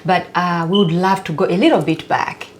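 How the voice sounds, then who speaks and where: A woman's voice: on the low side, fairly even intonation, moderate pace, slight background noise. A woman hosting a casual interview, speaking in an effusive and encouraging way and often murmuring agreement. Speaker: show host.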